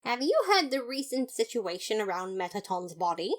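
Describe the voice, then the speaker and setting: A woman's voice: fairly high pitch, big pitch swings, moderate pace. An upright skeleton brother acted by a woman speaks in an audio story. He is pompous and formal, stressing his words with exasperated indignation. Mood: pleading, earnest.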